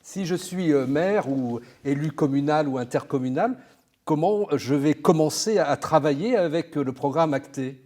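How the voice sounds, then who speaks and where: Mellow, higher-pitched male interviewer. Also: moderate pace. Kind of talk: conversational interview questioning.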